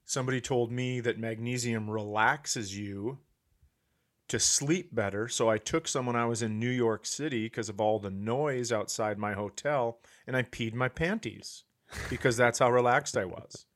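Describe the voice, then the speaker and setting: A man's voice: mid-range voice, moderate pace, fairly bright tone. A man hosting a comedy podcast, chatting casually and jumping in with teasing, profane riffs.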